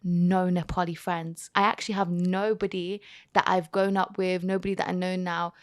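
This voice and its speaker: A woman's voice: on the low side, normal speed, no room sound. Chatty young woman, dry sound. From London, England. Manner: chatty and expressive, with frequent "like". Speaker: guest, TV and radio presenter. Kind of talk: casual podcast chat.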